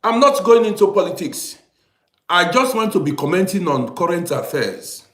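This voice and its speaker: A man's voice: high voice, big pitch swings, moderate pace, a bit bright, echoey room. A man from Africa, hosting a casual livestream, chatting and greeting viewers by name, repeating himself as he talks. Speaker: livestream host. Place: lives in Europe.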